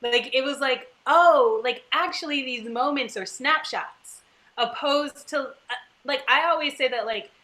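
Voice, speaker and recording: A woman's voice: high voice, a little slow, sharp tone. A woman actor in a casual panel conversation, explaining her craft in an emphatic, searching way. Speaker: stage actor in a cast discussion.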